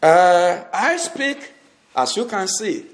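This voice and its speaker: A Yoruba-speaking man from Nigeria with a Nigerian Yoruba accent, preaching a sermon slowly and distinctly. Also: high voice, expressive. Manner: slow, deliberate and distinct.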